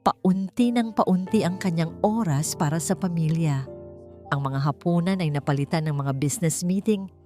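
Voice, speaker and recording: A woman reading a scripted dramatic story as its narrator. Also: on the low side.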